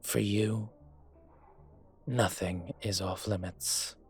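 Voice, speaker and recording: A man acting a suave, older, gentlemanly dragon mafia boss in a romantic roleplay audio drama, courtly and teasing, with a husky voice. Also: on the low side, fairly even intonation. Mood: smooth, inviting. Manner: unhurried, courtly, teasing, intimate. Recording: music behind the voice.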